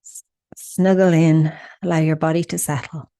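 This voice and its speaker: A woman leading a guided meditation in a soothing, instructional manner with deliberate pauses. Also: deep voice, flat delivery, dark tone, no room sound.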